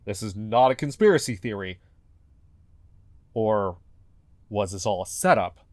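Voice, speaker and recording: A man playing an anxious, paranoid fugitive podcaster in an audio drama, earnestly talking to himself in halting mutters. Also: fairly low pitch, animated, no room sound. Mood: heartbroken, confused. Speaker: investigative podcast host in an audio fiction. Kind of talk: audio drama found-recording monologue.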